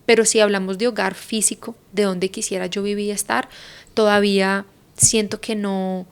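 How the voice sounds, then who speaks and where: A woman's voice: medium pitch, level tone, moderate pace, clear, resonant tone. A Colombian woman in her thirties, a podcast guest talking reflectively and thinking aloud with lots of fillers. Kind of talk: casual conversation.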